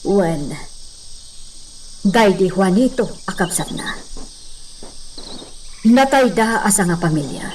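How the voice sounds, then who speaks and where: A woman playing a genteel hacienda lady in a radio drama, speaking in a measured, sighing, conversational way with an Ilocano accent. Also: mid-range voice, lively intonation, darkish tone, slight background noise. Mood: troubled, pensive. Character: genteel hacienda lady, a senyora addressing a worker.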